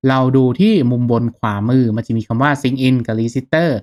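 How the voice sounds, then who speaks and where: A man narrating a casual step-by-step software tutorial in an informal, instructional manner. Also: medium pitch, normal speed. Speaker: tutorial host.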